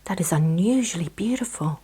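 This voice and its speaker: Woman as a soft-spoken, polite storybook hedgehog fellow. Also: on the low side, animated, a little fast, slightly rough. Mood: mild, pleased. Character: cheerful, tidy, sleepy male hedgehog, polite and mild.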